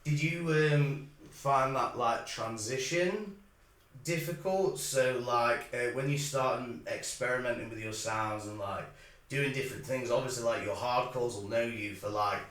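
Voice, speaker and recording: Chatty host, echoey and set back. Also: male voice, mid-range voice, unhurried, fairly bright tone, far from the mic. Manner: chatty, "like"-heavy, encouraging. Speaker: podcast host. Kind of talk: casual interview chat. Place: recording studio. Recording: echoey room, set back from mic, faint noise.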